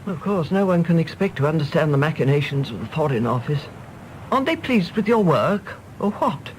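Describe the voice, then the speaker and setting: A woman's voice: sits low, animated, a little fast, slight background noise, low-quality recording. An actress playing a fussy, doting elderly mother with an English Received Pronunciation accent, fluttery and hesitant, in a radio drama. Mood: indignant, probing. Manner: fluttery, hesitant, repeating herself. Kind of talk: British radio drama acting.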